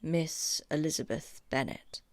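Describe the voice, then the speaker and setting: A proud, reserved young gentleman acted by a woman narrator in a classic audiobook. He speaks coolly and formally, in a low, composed voice with clipped manners. The recording is very dry. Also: measured pace, no room sound. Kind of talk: audiobook character acting.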